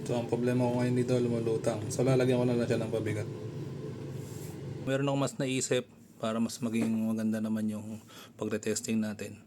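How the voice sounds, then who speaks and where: Casting: male gear reviewer, mid-pitched voice, conversational step-by-step demo delivery, noticeable background noise. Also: flat delivery, brisk. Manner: conversational, narrates actions step by step. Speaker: motorcycle gear reviewer and content creator. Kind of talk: casual product review and demonstration. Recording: clear background noise, room ambience.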